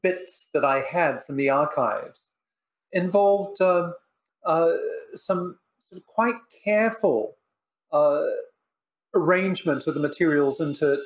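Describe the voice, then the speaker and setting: An older man speaking from a book-lined living room over a webcam, his voice pitched a little high for a man. He is a Polish-born author explaining his work in a measured, reflective way, pausing often with "uh" and "um". He sounds like a patient professor thinking aloud. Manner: measured, reflective, many "uh" and "um" pauses. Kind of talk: thoughtful interview answers. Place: living room in front of bookshelves. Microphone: video call webcam.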